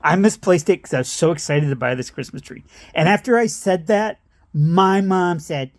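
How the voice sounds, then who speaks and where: A man's voice: high-pitched, normal speed, no echo. A middle-aged man telling a meandering personal story, interrupting himself and laughing at his own tangents. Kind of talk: rambling personal anecdote.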